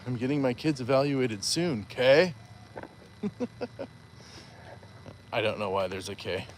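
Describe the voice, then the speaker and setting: A man's voice: medium pitch, expressive, slow, a little hiss or hum. A man hosting a live stream while walking outdoors, chatting casually and reading viewer comments aloud with playful asides. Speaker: livestream host.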